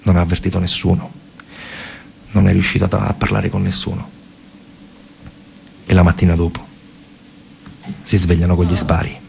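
A man narrating a radio theatre monologue dramatically, in colloquial, short phrases with repetitions and a husky voice. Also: sits low, monotone, moderate pace, long pauses, noisy background. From Italy. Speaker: storyteller actor.

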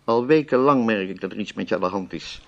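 An actor playing a concerned, persistent old theatre friend in a radio drama, familiar and gently probing. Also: male voice, on the low side, quick pace, gravelly, dull audio. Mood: insistent, worried.

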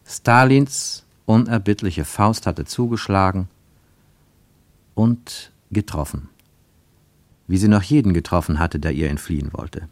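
Deep-voiced man narrating, close and dry. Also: measured pace, long pauses, dry recording. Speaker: narrator reading a literary story. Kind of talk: scripted literary narration.

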